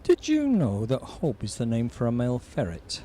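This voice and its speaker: An actor playing an odd, pedantic male post office worker, deadpan and fond of trivia. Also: medium pitch, normal speed. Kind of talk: amateur audio drama acting. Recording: music clearly underneath.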